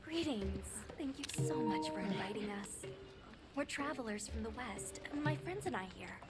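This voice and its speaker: High, lively, courteous woman traveler, acted. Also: normal speed, natural voice. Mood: polite, earnest. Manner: courteous, earnest. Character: polite, earnest young woman traveler. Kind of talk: video game cutscene acting. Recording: loud music behind. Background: music.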